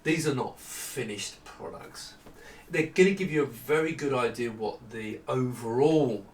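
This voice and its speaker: A middle-aged man giving a casual, unscripted product walkthrough in a halting, conversational manner. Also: medium pitch, darkish tone. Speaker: product designer presenting his monitors. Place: small home office indoors with studio lighting. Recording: echoey room, distant mic, faint noise.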